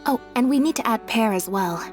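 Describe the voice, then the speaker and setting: An actress playing an affable, showy young stage magician in a video game, with a light, playful manner. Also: female voice, fairly high pitch, expressive, a little fast, a little mellow, faint music behind, lightly acted.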